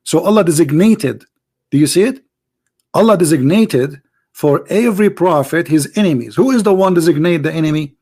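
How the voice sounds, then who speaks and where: A man's voice: on the high side, lively intonation, normal speed, a little mellow, breathy edge. A man who is not a native English speaker, hosting a heated live debate, insistent and talking over the other side. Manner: insistent, repeats points, cuts in. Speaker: debate host.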